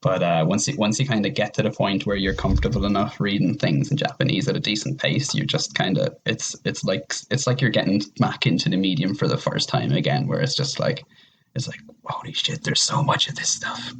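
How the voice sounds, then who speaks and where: A man's voice: low-pitched, fairly quick, a little hiss or hum, rough recording. A man chatting casually as a podcast guest, rambling and often repeating himself as he goes. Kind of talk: casual chat.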